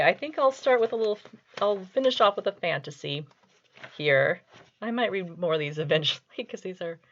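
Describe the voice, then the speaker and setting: A middle-aged woman, an author, chatting casually and reading poetry in a playful, mock-serious way on a lo-fi home recording. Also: on the low side. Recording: dull, lo-fi home video audio.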